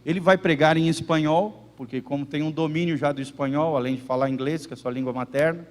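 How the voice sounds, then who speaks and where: A man's voice: on the high side, steady tone, fast talker. A man, the pastor, introducing a guest to his church and interpreting the sermon live, with fillers and repetitions. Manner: fervent, repeats phrases, fillers like "né". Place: church service. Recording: roomy church reverb.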